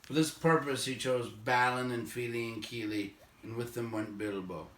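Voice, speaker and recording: A man narrating a story aloud in a measured, expressive way, letting phrase endings fall away quietly. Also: mid-range voice. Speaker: audiobook reader, narrator. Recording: roomy echo, distant microphone.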